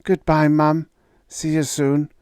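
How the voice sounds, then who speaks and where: A woman's voice: low voice, flat delivery, takes it slowly, clean tone, dry recording, clean studio sound, voice pushed up. Man doing a young woman standing up to her father. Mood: resolute. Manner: firm, quietly resolute.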